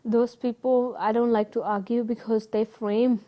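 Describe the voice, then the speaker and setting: A middle-aged woman with a Pakistani accent tells her own story in a flat, mellow voice. She talks earnestly but hesitantly, with many fillers and restarts. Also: on the high side, measured pace. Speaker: guest telling her story. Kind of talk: personal testimony.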